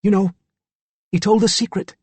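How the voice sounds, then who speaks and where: Audiobook role: Cockney lad of thirteen played by a man, earnest, impish, pitched up, dry sound. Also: fairly even intonation, moderate pace, a little mellow, no room sound. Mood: anxious. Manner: crisp, childlike, impish. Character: open, earnest thirteen-year-old English boy, impish.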